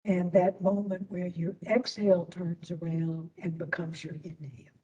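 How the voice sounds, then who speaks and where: A woman instructor leading a guided meditation in a soothing manner, with long pauses between short phrases. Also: lowish voice, flat delivery, dark tone, distant mic, highs cut off.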